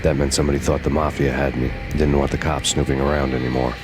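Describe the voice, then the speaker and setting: An actor playing a grim, hard-boiled cop who narrates in a deadpan, world-weary voice-over over game music. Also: male voice, a bass voice, fast. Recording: game soundtrack music behind.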